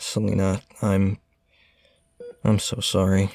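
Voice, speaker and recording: A man playing a brooding rich teenage boy in an audio drama. The voice sits low, and the delivery is earnest and a little hesitant. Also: completely flat delivery, slow pace, creaky, a little mellow, a little hiss or hum, heavily acted. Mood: sympathetic.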